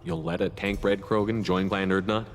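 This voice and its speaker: A man voices a businesslike human commander in a video game. His voice is low and steady, with direct, matter-of-fact delivery and a long reverb around it. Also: normal speed, close to an everyday voice. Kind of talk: video game character acting. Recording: long reverb, faint ambient noise.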